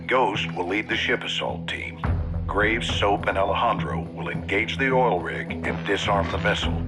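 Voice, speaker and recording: An actor voices a composed male officer briefing troops in a war game. The voice is on the low side, formal and authoritative, with clipped delivery over a prominent score. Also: close to an everyday voice. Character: composed military officer giving mission briefing. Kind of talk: scripted briefing narration.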